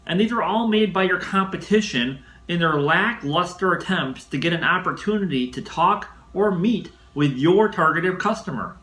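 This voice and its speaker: Bright, higher-voiced man coaching sales. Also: animated, moderate pace, few pauses, reverberant. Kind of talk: instructional sales talk, scripted delivery.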